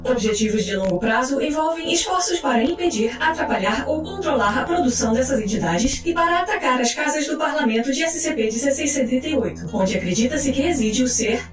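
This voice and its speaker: Female narrator, high register, clinical scripted read, roomy echo. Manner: formal, measured, clinical. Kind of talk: scripted documentary-style narration. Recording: echoey voice track over music. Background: music.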